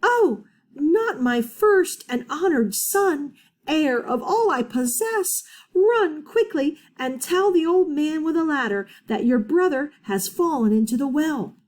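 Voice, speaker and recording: A woman's voice: medium pitch, big pitch swings, darkish tone, heavily acted. Woman doing a stern, scolding storybook mother. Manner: slow, haughty and scolding. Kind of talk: storybook reading aloud.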